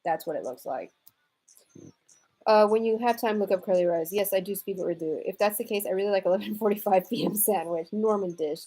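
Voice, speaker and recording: A woman's voice: mid-range voice, fairly quick, mellow. An American woman from Houston chatting casually as a live-stream host, reading out and answering viewer comments in a wry, irreverent, slangy way. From United States, raised in Houston, Texas.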